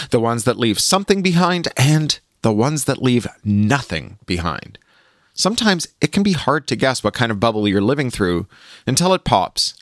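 A man's voice: medium pitch, a bit bright, dry recording, studio quality. A man hosting a solo podcast, speaking articulately and wryly as he shares updates and reads his own writing aloud. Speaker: podcast host and author.

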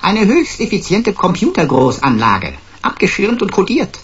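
An actor voicing a sarcastic computer sidekick in a German sci-fi radio play, with pompous, florid, mock-servile delivery. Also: male voice, sits high, wildly swooping pitch, normal speed, fairly bright tone, slightly rough, lo-fi sound, exaggerated voice. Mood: mock-servile, smug.

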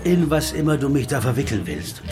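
A man's voice: medium pitch, sing-song, fairly quick, music in the background, lightly acted. An actor playing a weary male American jazz pianist and former private eye, who narrates in a guarded, dry way. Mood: wary. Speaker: narrator and main character. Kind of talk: radio play acting.

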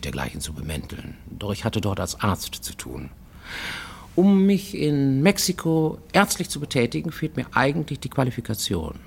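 An actor playing a paranoid, self-justifying fugitive who works as an illicit medic, narrating formally and deliberately in a radio play monologue. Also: male voice, fairly low pitch, husky. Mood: defensive, insistent. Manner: formal, deliberate, insistently explaining himself to a listener.

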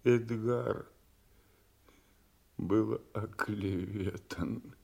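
A shattered old nobleman in a tragic radio play. His low, creaking voice is faint and full of grief, halting very slowly between phrases. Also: steady tone, a little mellow, heavily acted. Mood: remorseful, praying. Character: blinded old nobleman, broken and remorseful. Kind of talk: classical Russian stage tragedy acting.

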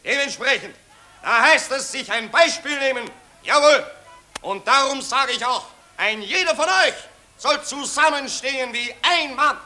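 An actor playing a pompous patriotic Viennese street orator, bombastic and garbled, in a 1940s German radio play. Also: male voice, very high voice, expressive, a little slow, sharp tone, exaggerated voice. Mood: fervent, bombastic. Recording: old 1940s radio recording.

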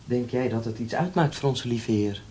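An actor playing a gentle, devout young village priest, speaking softly and with patient earnestness in a radio drama. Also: male voice, mid-range voice, moderate pace, slight background noise, lightly acted. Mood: gentle, earnest.

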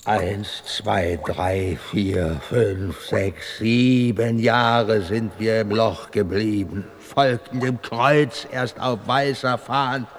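A man's voice: fairly low pitch, a little hiss or hum. A man playing a hungry, down-to-earth squire in a comic rhymed radio play, plain-spoken and comically plaintive. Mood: mournful.

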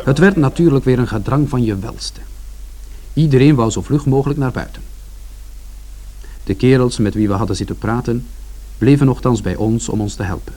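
An actor playing an observant son who narrates a family journey in a measured, descriptive way for a radio drama. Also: male voice, medium pitch, dark tone, close to an everyday voice. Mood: reflective.

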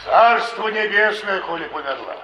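An actor playing a gruff, practical flophouse dweller, matter-of-fact, in a 1950s Soviet stage play. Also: male voice, sits high, very animated, moderate pace. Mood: blunt, practical. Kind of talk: naturalistic stage acting, 1950s Soviet theatre. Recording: muffled, noisy, echoey theatre recording.

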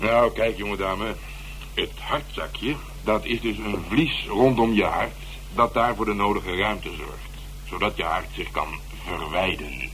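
An actor in a Dutch radio drama playing a wry, casual official who explains medical findings, hesitating over the jargon. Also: male voice, on the low side, a little slow, a bit bright. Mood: explanatory, casual. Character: male official reading a coroner's report, casually knowing, wry. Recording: noisy, humming old radio recording.

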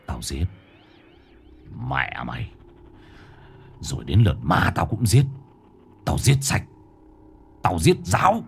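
A man's voice: medium pitch, huge pitch swings, takes it slowly, creaky, pauses often, pitched above the performer's own voice, heavily acted. A man voicing a crazed middle-aged husband who snarls and hisses his threats. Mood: muttering menace. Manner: hissing, snarling, shouting threats. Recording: soft background music.

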